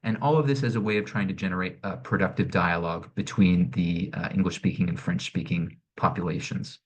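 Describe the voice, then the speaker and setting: Low-voiced man presenting an online session. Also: steady tone. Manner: measured, explanatory, frequent "um" and "sort of". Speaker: director of programming presenting an arts network. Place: home or office, online session. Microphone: computer mic on a video call.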